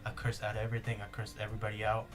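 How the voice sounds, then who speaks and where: A young man with a Spanish accent hosts a casual podcast, rambling through stories with plenty of "like" and "uh". His voice sits a little low. Music plays clearly behind him. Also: completely flat delivery, rapid-fire. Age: young adult. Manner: rambling, many fillers and restarts. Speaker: podcast host. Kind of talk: casual chat.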